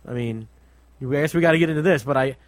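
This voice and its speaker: High-pitched male talk show host. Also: racing, no room sound. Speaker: radio show host and comedy night host. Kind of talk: casual opinionated chat.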